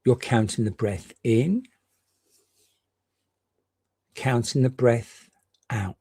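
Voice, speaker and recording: A man gently and reassuringly guiding a meditation, leaving unhurried pauses between short phrases. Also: mid-range voice, slightly rough, no room sound. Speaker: meditation guide. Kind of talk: guided meditation.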